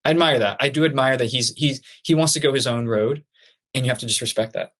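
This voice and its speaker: A man's voice: mid-range voice, fast, a bit bright. A young man from Peru, raised in Florida, a podcast guest in casual chat who stammers a little at the start of his thoughts.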